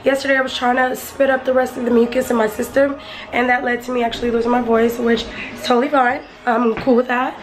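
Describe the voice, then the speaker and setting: A young woman vlogging casually about her beauty room, chatty and playful, with slang, and a little hoarse. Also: on the high side, little pitch movement, quick pace. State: hoarse, voice partly lost. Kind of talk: casual vlog chat. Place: home beauty room, indoors, and outdoors in wind. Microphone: handheld camera at arm's length. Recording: noisy handheld vlog audio.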